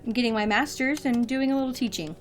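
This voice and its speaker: A woman's voice: fairly high pitch, fast talker, faint music behind, close to an everyday voice. An actress playing a guarded, sarcastic young woman, a hardened grad-student daughter, speaking dryly and articulately in an audio drama. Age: young adult.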